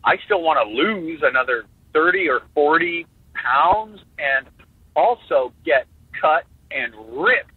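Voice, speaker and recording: A man in his fifties talks in an animated, joking way, often restarting his sentences. His voice sits high and swoops around, with a husky edge. It comes through a tinny, phone-like line with no room sound. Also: no room sound. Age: 50s. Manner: animated, halting restarts, jokey. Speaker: guest drummer. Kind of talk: casual interview talk.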